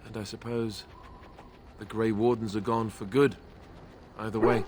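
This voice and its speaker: An actor playing an earnest, wistful young warrior in a fantasy video game. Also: male voice, lowish voice, flat delivery, a little slow, darkish tone, slight background noise, close to an everyday voice. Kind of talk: video game dialogue acting.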